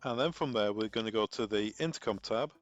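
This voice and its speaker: Low-voiced man giving a software tutorial. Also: fairly quick, no echo, highs cut off, soft background music. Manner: informal, instructional, talking through each step aloud. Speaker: technical trainer presenting a tutorial. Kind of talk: unscripted step-by-step software walkthrough.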